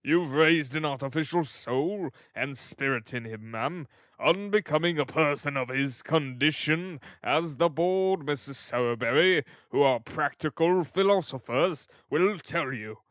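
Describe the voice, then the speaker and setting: Man acting a loud, pompous parish beadle. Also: on the high side, unhurried, no echo, studio quality. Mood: stern, indignant. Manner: pompous, declamatory, emphatic. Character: pompous, stern male parish beadle, loud and self-important.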